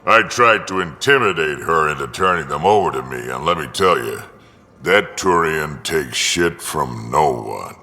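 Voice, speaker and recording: Very deep, gruff old alien mercenary. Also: male voice, lively intonation, measured pace, sharp tone, in a large hall, heavily acted. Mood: proud, amused. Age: older. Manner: blunt, dry, folksy, salty. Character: ancient gruff male alien mercenary, blunt proud grandfather. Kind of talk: video game character dialogue.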